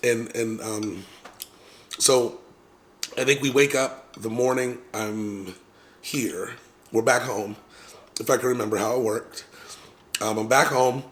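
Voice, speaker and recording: A man casually telling viewers a long personal story, rambling with many fillers and restarts and clearing his throat now and then. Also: medium pitch, a bit bright. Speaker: vlogger.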